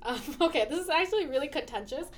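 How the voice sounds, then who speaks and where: A woman's voice: high voice, expressive, fast. A young adult woman talking as a student guest in a casual interview, chatty and full of fillers, laughing easily.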